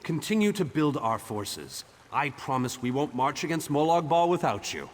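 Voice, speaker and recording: An actor playing a theatrical, genial and self-important mage leader in a fantasy video game. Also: male voice, a little high, very animated, normal speed. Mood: resolute. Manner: grandiloquent, theatrical. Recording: long hall reverb, faint noise.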